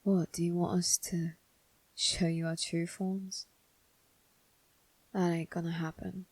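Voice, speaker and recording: Woman voicing a husky, creaky demon servant. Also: on the low side, little pitch movement, slow, darkish tone, no room sound, heavily acted, rough recording. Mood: sly, menacing. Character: teasing demon servant triplets, sly and menacing. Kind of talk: ASMR roleplay acting.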